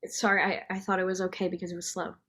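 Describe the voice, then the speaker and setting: A woman's voice: lowish voice, flat delivery, quick pace, a bit bright. A young woman playing a meek, unsure nineteen-year-old cashier, hesitant and apologetic, in an audio drama. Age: young adult.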